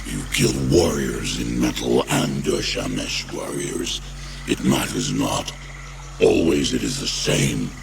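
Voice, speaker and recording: Very deep, gravelly creature shaman. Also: animated, far from the mic, background noise, full character voice. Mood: accusing. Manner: solemn, halting, formal declarations. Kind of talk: fantasy video game voice acting.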